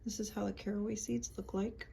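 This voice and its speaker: A young woman with a gravelly, fry-tinged voice talks through a ritual at an easy, chatty pace. She stops often with ums and likes as she explains each step. Faint noise hums under the recording. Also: mid-range voice, flat delivery, a little fast, mellow. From United States, Puerto Rican and Peruvian family. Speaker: witchcraft vlogger. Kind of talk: casual spell tutorial.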